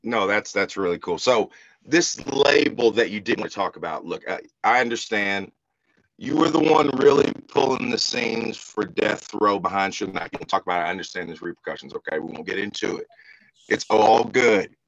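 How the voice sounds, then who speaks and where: A man with a low-pitched voice and plenty of fry hosts a casual interview. He talks informally, with slang and teasing asides. The recording is dry and a little muffled. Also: normal speed, a bit bright, no echo. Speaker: podcast host. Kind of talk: casual joking interview chat.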